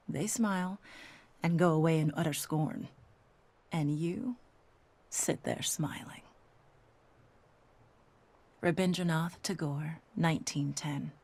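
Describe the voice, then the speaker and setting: A woman reading poetry as a wistful, devoted poet, breathy, hushed and deliberate. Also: sits low, lively intonation, exaggerated voice. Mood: wistful, tender. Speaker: voice actor reading quotations for game audio logs. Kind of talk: intimate scripted poetry reading.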